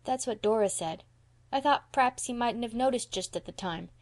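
Lady doing a little boy for an audiobook, high voice, blunt n earnest, mischievous, kiddy bad grammar. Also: moderate pace, lightly acted. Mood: miserable, pleading. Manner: childish ungrammatical speech, emphatic. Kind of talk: audiobook character voice.

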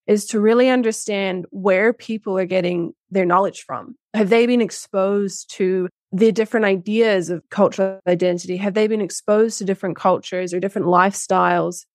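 A young woman in her twenties, raised in Dubai, speaking in a halting, searching way as she talks through her ideas. Her voice sits at an ordinary middle pitch. The sound is dry and close, with no room around it. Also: a little mellow, no room sound. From grew up in Dubai. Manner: hesitant, searching for words with many fillers. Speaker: guest co-author. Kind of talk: casual interview chat.

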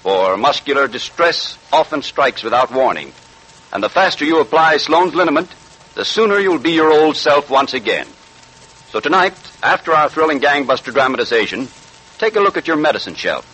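A man's voice: fairly high pitch, sing-song, normal speed, sharp tone, slightly rough, rough recording. A man reading a scripted advertisement as an announcer in 1940s radio style.

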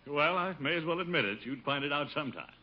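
An actor playing a vain, bashful, easily flustered middle-aged American official in a 1950s radio sitcom, blustery and chuckling. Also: male voice, on the high side, animated, quick pace, lightly acted. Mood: bashful, pleased. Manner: blustery, chuckling, hesitant asides. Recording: noisy, muffled 1950 radio recording.

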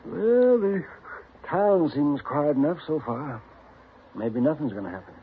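An actor in a 1950s radio western playing a wry, skeptical frontier doctor with a dryly grumbling manner. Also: male voice, fairly high pitch, animated, measured pace, slight background noise. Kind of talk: 1950s radio drama acting. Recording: tinny old radio broadcast.

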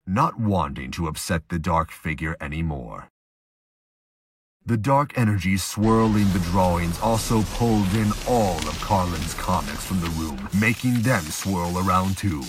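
A man with a husky voice reading scripted narration for an audio drama. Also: low voice.

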